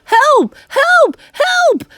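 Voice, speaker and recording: A woman voicing a frantic male cook in a comic storybook, yelling in shrill alarm. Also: big pitch swings, drawn-out words, dry recording, full character voice. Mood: frantic panic.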